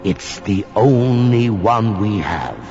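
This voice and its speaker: Creepy melodramatic horror tale teller, gravelly, huge swings, muffled recording with music. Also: male voice, fairly low pitch, animated. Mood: suspenseful. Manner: theatrical, halting repetitions for suspense. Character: haunted old storyteller telling a ghoulish horror tale, melodramatic. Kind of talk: dramatic horror storytelling. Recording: dull recording under loud music.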